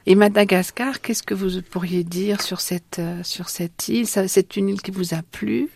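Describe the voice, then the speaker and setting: A woman interviewer asking gentle questions, with some fillers as she prompts. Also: mid-range voice, fairly even intonation, normal speed, gravelly, breathy edge, no room sound.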